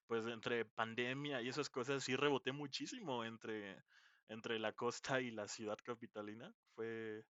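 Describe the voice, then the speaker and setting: A man's voice: medium pitch, fairly bright tone, no room sound. A young man from Mexico, a podcast host and indie game developer, chatting casually and laughing often. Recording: dull lo-fi mic, dry.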